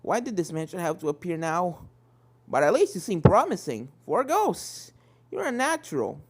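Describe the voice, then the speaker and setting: A hearty male ghost-hunting pony from a cartoon tale, played by a woman. He is an encouraging, instructive mentor with a very animated delivery, set over background music. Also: lowish voice, normal speed, slightly rough, full character voice.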